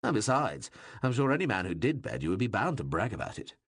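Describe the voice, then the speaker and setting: A male narrator voicing a bawdy, teasing king who mocks and needles those around him in a loud, playful way. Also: lowish voice, very animated, quick pace, breathy edge, dry recording, heavily acted. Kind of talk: audiobook reading.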